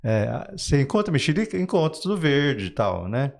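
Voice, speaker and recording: A Brazilian man with a mid-pitched voice talks as an interview guest. He explains ideas in a conversational, anecdotal way, reaching for everyday examples and often adding small check-in tags. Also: sing-song, normal speed, vocal fry. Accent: Brazilian Portuguese. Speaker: guest psychoanalysis author.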